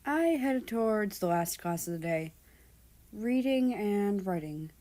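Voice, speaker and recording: Slow-paced woman reading a story. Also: medium pitch, lively intonation, dark tone. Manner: unhurried, acts out dialogue. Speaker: amateur fan fiction reader. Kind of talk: expressive storybook narration.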